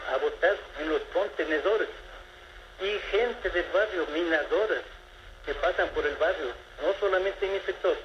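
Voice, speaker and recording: A man in his seventies calls in, speaking politely and formally but wandering through his point with frequent restarts. His voice sits a little high, and the line sounds muffled and roomy, with a noisy background. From Ecuador. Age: 70s. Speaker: caller. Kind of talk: phone-in complaint to a radio show. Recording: echoey, muffled phone-in with background noise.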